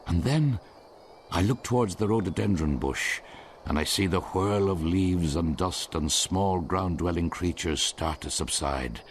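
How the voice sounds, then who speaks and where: A man's voice: sits low, a little slow, a little hiss or hum. A man narrating his own story in a radio drama, in a wry storytelling manner. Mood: reflective. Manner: wry, storytelling, first-person recollection. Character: man looking back on his own wild night.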